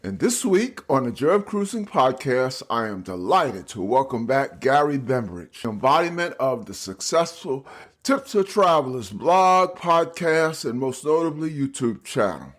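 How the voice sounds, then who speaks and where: A man's voice: mid-range voice, very animated, a little slow, fairly bright tone. A man hosting a cruise podcast, reading an enthusiastic intro and then chatting with many fillers. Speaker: podcast host. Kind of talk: interview podcast.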